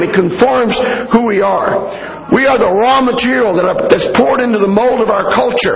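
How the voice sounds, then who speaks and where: A middle-aged American man preaching a sermon in an animated, conversational way. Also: very high voice, vocal fry. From United States. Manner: animated, conversational, acting out asides to listeners. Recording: echoey hall with long reverb, muffled.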